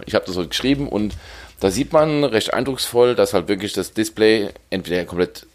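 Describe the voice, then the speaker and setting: A man co-hosting a casual tech podcast, relaxed and quick to laugh as he explains the news. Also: on the low side. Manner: laughs easily, relaxed banter. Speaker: podcast co-host.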